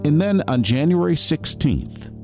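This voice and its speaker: Male narrator, mid-pitched with a widely varied delivery, scripted storytelling over clear guitar music. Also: big pitch swings, measured pace.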